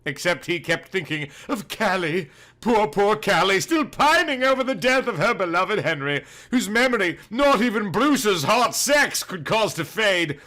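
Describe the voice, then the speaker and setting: A man's voice: high-pitched, animated, measured pace, pushed and bright. A man reading a comic detective story as scripted audiobook narration, with a dramatic, mock-serious delivery.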